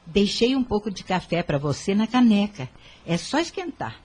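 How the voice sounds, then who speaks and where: A woman's voice: medium pitch, very animated, normal speed, a little mellow, breathy edge. A woman playing a poor, hardworking and devout mother in an audio drama. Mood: tender, resigned.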